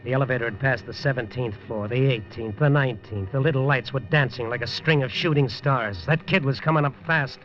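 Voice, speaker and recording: An actor playing a world-weary newspaper reporter who narrates his own story in a hard-boiled, low-key and wry way, in 1950s radio drama style. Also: fairly quick, few pauses, soft background music, natural voice. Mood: tense. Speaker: first-person narrator and lead. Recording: dull lo-fi 1950s radio recording.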